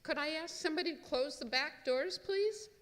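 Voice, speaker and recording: A woman hosts a live stage event, welcoming the audience. Her voice is bright and fairly high. The room adds a long reverb around her words. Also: moderate pace. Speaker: troupe presenter welcoming the audience. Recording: reverberant hall sound.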